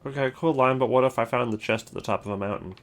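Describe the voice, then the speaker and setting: A man's voice: mid-range voice, quick pace. A man giving casual, rambling commentary, thinking aloud as he goes. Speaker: video creator ranking game voice lines.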